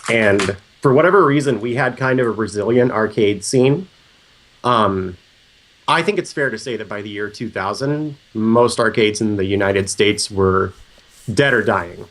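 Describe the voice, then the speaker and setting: A man in his thirties from Missouri who has a cold that deepens his voice, telling stories on a podcast in a loud, chatty, joking way. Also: unhurried, poor audio. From Columbia, Missouri. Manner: loud, chatty, jokey storyteller. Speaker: podcast co-host. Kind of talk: casual podcast chat.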